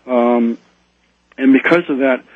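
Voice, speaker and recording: A man appearing as a radio guest over the phone, explaining ideas in a halting way with many ums and repeated words. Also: medium pitch, steady tone, husky, no echo. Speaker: guest author. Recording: phone line, tinny and dry.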